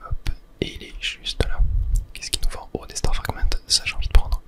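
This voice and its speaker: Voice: moderate pace, sharp tone. A person whispering ASMR commentary over a video game on a close mic, thinking aloud hesitantly and correcting themselves as they go. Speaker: gaming video host.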